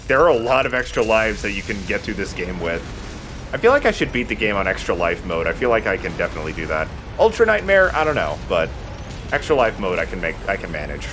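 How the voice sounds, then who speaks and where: A man's voice: lowish voice, noisy background. A man giving casual, rambling and jokey commentary as he hosts a video game playthrough. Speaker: let's play host.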